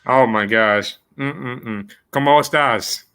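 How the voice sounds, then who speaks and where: A male podcast host with a bright, forceful voice at an average male pitch. He chats casually with his guest in a folksy, informal and appreciative manner. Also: takes it slowly, clear, resonant tone. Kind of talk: casual interview chat.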